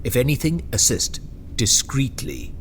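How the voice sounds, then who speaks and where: An actor playing a shrewd, weary royal chamberlain with a dry, courtly manner in an audio drama. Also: male voice, mid-range voice, big pitch swings, measured pace, a little hiss or hum. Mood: impatient, commanding.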